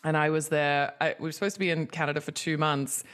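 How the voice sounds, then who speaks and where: A woman's voice: deep voice, fairly even intonation, fast, fairly bright tone. A woman from Hong Kong and Australia speaking candidly and casually as an interview guest, with a chatty, informal way of talking. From Australian who grew up in Hong Kong. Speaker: podcast guest, entertainment executive and writer.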